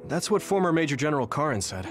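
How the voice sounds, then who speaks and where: A man's voice: on the high side, sing-song, fast. An actor playing an earnest, polite young male squad leader in an English game dub, with music underneath. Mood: resolute.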